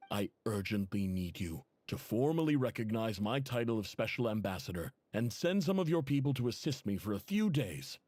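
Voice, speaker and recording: Desert tribe chief, game character, low pitch, husky, weary, humble, earnest pleading, dry sound. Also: male voice, a little mellow, no room sound. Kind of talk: game voice acting, earnest letter reading.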